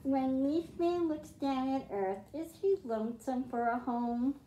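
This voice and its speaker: A middle-aged woman hosting a children's storytime, speaking in simple, deliberate phrases meant for young kids. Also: high-pitched, dark tone, highs cut off, slight background noise. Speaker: children's librarian, storytime host. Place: small library room with bookshelves, indoors. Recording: roomy, echoing indoor sound.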